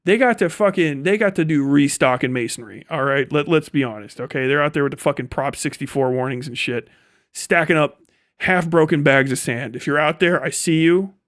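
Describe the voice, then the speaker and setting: An American man livestreaming a casual, profane, blunt and rambling rant in an animated manner. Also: a little high, a little fast, no echo, clean studio sound. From United States. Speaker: livestream host. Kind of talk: casual ranting livestream commentary.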